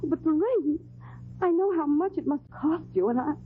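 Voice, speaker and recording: An actress playing a sweet, melodramatic young fiancée in an old-time radio drama, gushing and halting. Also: female voice, sits high, close to an everyday voice. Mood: distressed apology. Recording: tinny old radio broadcast, faint noise.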